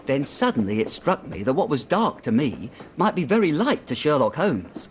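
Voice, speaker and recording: A man's voice: a little high, big pitch swings, moderate pace, a little hiss or hum. An actor playing an earnest, uneasy young male clerk in a period radio drama, eagerly and deferentially telling his story. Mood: puzzled, intent.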